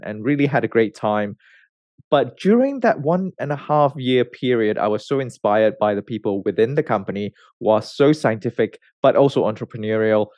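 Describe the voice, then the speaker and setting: A man in his thirties from Malaysia, a research scientist telling his career story in a relaxed way, with many fillers and restarts. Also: fairly low pitch, no echo, clean studio sound. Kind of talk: conversational interview answers.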